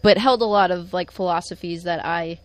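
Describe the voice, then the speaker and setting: A woman's voice: fairly low pitch, normal speed, low-quality recording. A woman in her twenties chattily recounting how she met her partner, in a casual conversation. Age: 20s. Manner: chatty storyteller, many fillers. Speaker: guest.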